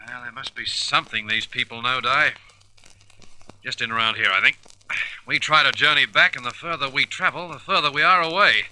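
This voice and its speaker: A decisive space captain in an old-fashioned radio serial. His voice is very bright and forceful, at a middling pitch. He takes command while thinking aloud. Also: a little fast, rough recording. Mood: brisk, decisive. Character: decisive male space hero leading his crew.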